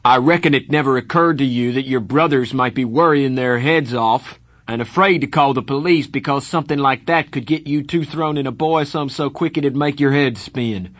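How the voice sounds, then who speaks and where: A man doing a furious, shouting older brother. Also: mid-range voice, normal speed, few pauses, no room sound, voice pushed up, close to an everyday voice. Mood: furious scolding. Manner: shouting, scolding. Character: angry older brother, young man, stern.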